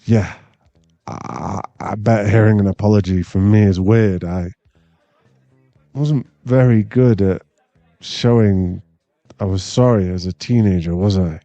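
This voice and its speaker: Man acting a deep, gravelly, remorseful ex-bully. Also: no room sound, dull audio, faint music behind. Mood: apologetic, hesitant. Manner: hesitant, halting, soft-spoken sincerity. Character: remorseful former bully, man nearly thirty, awkward and tender. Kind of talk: intimate ASMR boyfriend roleplay.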